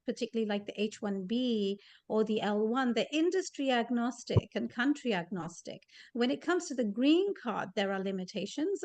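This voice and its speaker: A woman answering a question about US visas on a video call, measured and plain-spoken. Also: fairly high pitch, dry recording. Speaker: US immigration advisor. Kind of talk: webinar answer.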